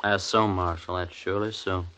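A man's voice: deep voice, steady tone, moderate pace, pushed and bright. An actor playing a chatty, folksy frontier buffalo-camp man with a rural Western American drawl and rustic grammar, in an old radio western. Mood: folksy, amused. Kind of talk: 1950s radio western acting. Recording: dull old radio recording, faint noise.

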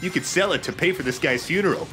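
A man's voice: on the high side, quick pace. A man casually bantering over video game play, dropping offhand quips as a let's play commentator. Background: video game music.

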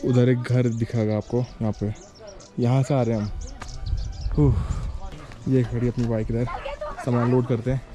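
A man's voice: mid-range voice, flat delivery, normal speed, pauses often. A man in his thirties chatting casually to camera as a travel vlogger, friendly and informal, recorded outdoors on a handheld camera. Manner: friendly, addresses viewers and locals as brother. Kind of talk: casual vlog chat to camera. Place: outdoors on mountain roads and villages.

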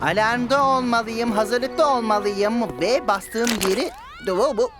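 A woman's voice: a little high, unhurried, lightly acted. A woman voicing a naive, scatty, eager young crow in a preschool cartoon dub. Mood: musing. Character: clumsy, eager young crow longing to be a hero. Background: music.

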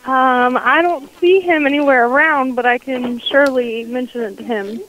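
A woman's voice: high-pitched, normal speed, fairly bright tone. A woman working as a store clerk, handling a customer over a dull, noisy telephone line. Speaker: drugstore phone clerk. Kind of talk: customer service phone talk.

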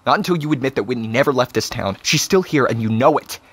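A man's voice: mid-range voice, animated, quick pace, a bit bright, slightly rough. An actor playing a bitter, defiant seventeen-year-old boy in a naturalistic audio drama. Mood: angry, defiant. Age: teenager. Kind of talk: naturalistic audio fiction acting.

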